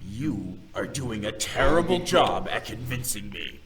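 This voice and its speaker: A man's voice: on the low side, very animated, a little slow, distant mic, a crowd in the background. An actor playing a large, ruthless demon king in a visual novel, imperious, scornful and snarling. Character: large, ruthless, arrogant male demon king, quick to anger. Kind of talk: visual novel game voice acting.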